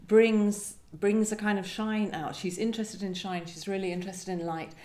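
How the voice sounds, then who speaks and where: Thoughtful, halting woman in an echoey room. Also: medium pitch, fairly even intonation, a little mellow. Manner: thoughtful, halting, searching for words. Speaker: guest poet discussing and reading poems. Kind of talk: literary discussion and poetry reading. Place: echoey indoor room at a live event.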